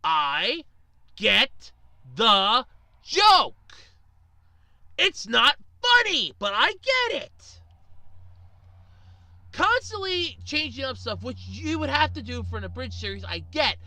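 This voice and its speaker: High, animated, exasperated woman ranting. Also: slow pace, long pauses, no echo. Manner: exasperated, sarcastic, emphatic. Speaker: video ranter. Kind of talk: opinionated rant to viewers.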